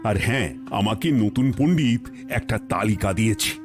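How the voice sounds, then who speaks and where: A man's voice: lowish voice, moderate pace, music in the background, natural voice. A man voicing a middle-aged cartoon king who gives orders in a regal, commanding way. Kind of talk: cartoon voice acting.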